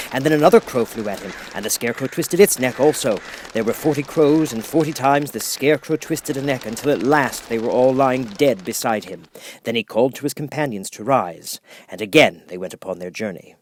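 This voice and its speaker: A man reading a children's audiobook as narrator, with expressive, dramatic storytelling. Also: medium pitch, a little fast, a little hiss or hum.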